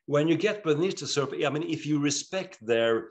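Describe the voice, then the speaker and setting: A middle-aged man with a Swedish accent, an author talking in an interview, earnest and animated, often restarting his sentences. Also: fairly high pitch, moderate pace, muffled recording. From Sweden. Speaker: author guest. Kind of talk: interview conversation.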